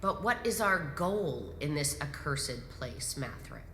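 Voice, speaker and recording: An actress playing a composed, commanding imperial grand marshal in a sci-fi audio drama, measured and probing. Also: female voice, sits low, sing-song, pushed and bright, exaggerated voice. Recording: echoey hall reverb, music underneath.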